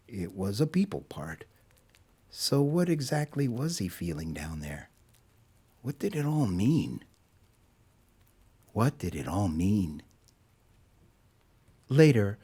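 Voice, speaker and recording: A man narrating a scripted story in a dramatic, deliberate storytelling manner. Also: lowish voice, darkish tone, breathy edge.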